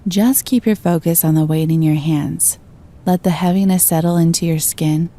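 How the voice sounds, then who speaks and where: A woman gently guiding a meditation, giving slow, soothing instructions. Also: on the low side, steady tone. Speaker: meditation guide. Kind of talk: guided meditation.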